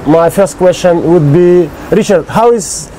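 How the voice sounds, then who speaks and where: A Russian man hosts a casual interview in halting second-language English. His accent is Russian and his voice is fairly high and soft-edged. Faint ambient noise sits behind him. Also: low-quality recording. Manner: halting, simple phrasing in imperfect English. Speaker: interviewer and show host. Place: recorded on location on an island.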